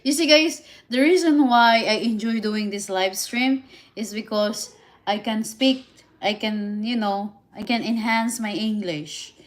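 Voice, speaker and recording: A woman with a Filipino accent, whose first languages are Visayan and Tagalog, chatting casually on a live stream and answering viewer comments in halting English with frequent restarts. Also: fairly high pitch. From Philippines. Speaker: livestream host.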